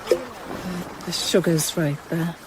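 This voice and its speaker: A wry, down-to-earth woman journalist with a Northern English accent, pitched on the low side. She speaks briskly in radio drama dialogue and narration. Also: fairly even intonation, noisy background. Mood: dry, probing.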